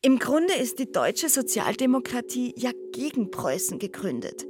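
A keen woman expert in a radio play. Her voice is fairly high, lecturing in emphatic short phrases, with music clearly playing behind. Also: very animated, moderate pace. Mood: keen explaining. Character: keen woman expert explaining political history. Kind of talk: radio drama monologue, lecturing.

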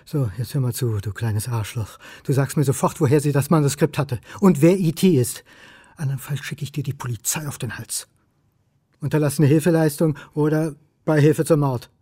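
A man's voice: fairly high pitch, moderate pace, dark tone, slightly rough. An actor playing a brooding, stubborn male historian in a radio crime drama, pressing for answers. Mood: angry, demanding. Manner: insistent, interrogating. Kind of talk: radio crime drama acting and inner monologue.

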